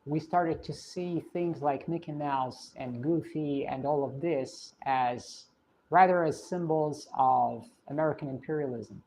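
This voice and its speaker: Streamer, woman, deep voice, Russian accent with a British English lilt, reflective and hesitant delivery. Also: a little slow, dark tone, a little hiss or hum, low-quality recording. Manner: thoughtful, hesitant, many fillers and restarts. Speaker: streamer answering viewer questions. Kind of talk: casual livestream chat.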